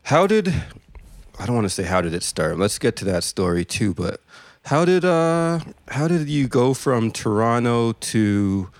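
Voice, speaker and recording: A man's voice: medium pitch, big pitch swings, a little slow, no room sound. A man co-hosting a podcast interview, joking around loosely and trailing off mid-question. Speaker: podcast co-host. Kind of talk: casual interview chat.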